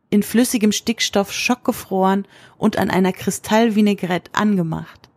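A woman's voice: medium pitch, a little mellow, no room sound. A woman narrator delivering scripted prose for an audio drama.

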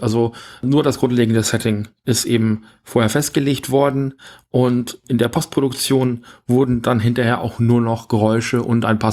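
A man giving a measured, explanatory podcast introduction as presenter of an audio play. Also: mid-range voice.

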